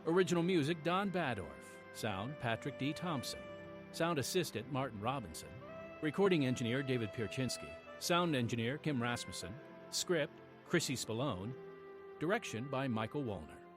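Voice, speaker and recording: A man reading a scripted radio announcement as program host, with an earnest, measured delivery. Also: medium pitch, sing-song. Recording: music bed behind the voice. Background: music.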